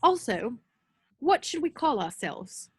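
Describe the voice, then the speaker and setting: Lady reading as bossy bookish teen girl, earnest, highish voice, dry close sound. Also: very animated, slow, husky, dry recording, exaggerated voice. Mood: brisk, organizing. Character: bossy, earnest, bookish teenage schoolgirl organizer. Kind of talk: amateur online table read.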